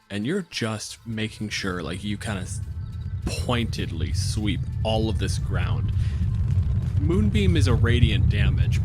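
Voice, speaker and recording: Lower-voiced man running a tabletop game. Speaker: game master. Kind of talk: casual narration and rulings for tabletop game.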